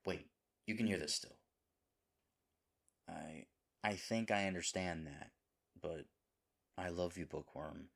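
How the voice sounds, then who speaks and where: A man playing a sweet, dim himbo boyfriend in an audio roleplay, talking in a halting, rambling, flustered and earnest way. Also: sits low, creaky, heavily acted. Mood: tender, bewildered. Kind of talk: audio roleplay acting, intimate one-sided dialogue.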